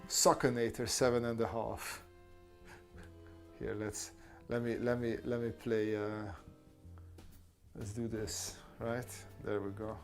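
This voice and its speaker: A man's voice: mid-range voice, level tone, takes it slowly, long pauses, soft background music. A man hosting a casual art livestream, chatting with viewers and talking them through drawing steps. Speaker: artist streamer answering chat.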